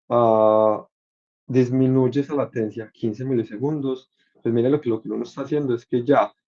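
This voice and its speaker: A man's voice: medium pitch, vocal fry, darkish tone, muffled recording. A man giving an informal, explanatory career talk, conversational and mixing English tech words into his speech. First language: Spanish. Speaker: senior software engineer giving a talk.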